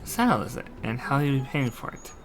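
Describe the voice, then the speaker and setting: A man's voice: medium pitch, very animated, a little fast, faint music behind. A man voicing a shrewd, stubborn saddle maker in a video game, haggling brusquely. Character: shrewd, stubborn male saddle maker driving hard bargains. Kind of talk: amateur character voicing of game dialogue.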